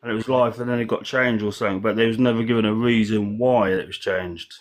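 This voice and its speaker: A man's voice: lowish voice, steady tone, quick pace. Hesitant man on a call-in. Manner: hesitant, trails off and restarts. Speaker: caller. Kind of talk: casual football chat.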